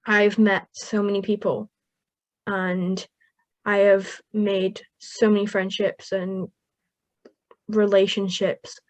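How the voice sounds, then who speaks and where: Young woman, from Wales, first language Welsh, earnest, upbeat, laughs often, medium pitch, dry sound. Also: no room sound. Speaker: young carer guest. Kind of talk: interview answers.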